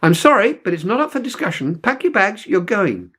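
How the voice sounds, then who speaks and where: Man reading as a firm, insistent mother, pitched up. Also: very animated, fairly quick, fairly bright tone, husky, full character voice. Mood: firm insistence. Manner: decisive, no-nonsense.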